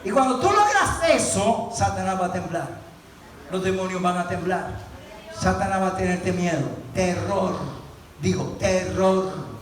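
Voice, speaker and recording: A man's voice: sits high, expressive, normal speed. A man preaching an impassioned sermon in an emphatic, exhorting manner, repeating words and phrases for effect. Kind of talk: impassioned Spanish-language sermon. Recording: very echoey room, distant mic, faint noise.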